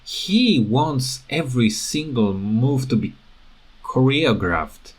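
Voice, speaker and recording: Slow, hesitant man with a non-native English accent. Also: medium pitch, animated, poor audio. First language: not English. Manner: hesitant, halting, repeats words. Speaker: gaming video creator. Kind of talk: rambling unscripted game commentary.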